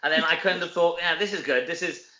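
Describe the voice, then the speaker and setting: Welsh man, bright forceful voice, lo-fi roomy recording, chatty, self-deprecating, casual interview storytelling. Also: fairly high pitch, quick pace. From Wales. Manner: chatty, self-deprecating, often tags sentences with "you know". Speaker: actor and comedy performer, interview guest. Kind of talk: casual anecdotal interview chat. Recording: roomy echo, dull lo-fi sound.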